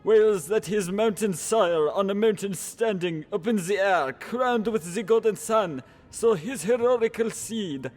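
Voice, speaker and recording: A man's voice: sits high, darkish tone. A man playing a wary, regal French king in solemn, declamatory Shakespearean radio theater.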